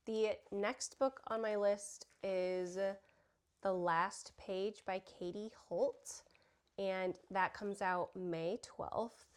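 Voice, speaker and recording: A woman's voice: mid-range voice, smooth. A young woman with a cold talks about books in a casual chat at home. She fills her talk with "like" and "um" and sniffles as she goes. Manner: chatty, filler-heavy, "like" and "um". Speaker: book vlogger. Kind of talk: casual chat while crafting. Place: home room with children nearby.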